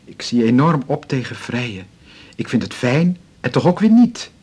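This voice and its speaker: Shy, halting young student, husky. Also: male voice, medium pitch, sing-song, darkish tone. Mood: embarrassed, anxious. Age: young adult. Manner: hesitant, halting, apologetic. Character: embarrassed, insecure young male student seeing a doctor. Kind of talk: naturalistic 1980s radio drama acting.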